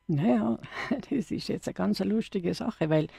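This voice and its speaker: A middle-aged Austrian woman with a gravelly edge and a dark, mellow tone. She chats freely with little restarts. The sound is close and dry. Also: mid-range voice, measured pace, dry recording. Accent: Austrian German. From Upper Austria. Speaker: studio guest, dialect poet. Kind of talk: radio interview.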